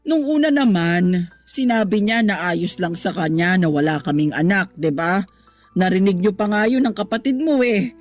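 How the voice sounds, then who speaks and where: A woman's voice: mid-range voice, normal speed, faint music behind, natural voice. A woman with a Filipino accent acting a hurt, defensive middle-aged mother in a radio drama, wounded and plaintive. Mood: hurt, resigned.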